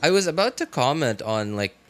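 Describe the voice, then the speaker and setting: A young man doing casual livestream commentary on a game, rambling and halting as he repeats himself. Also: a little high, big pitch swings, fairly bright tone, soft background music. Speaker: game streamer.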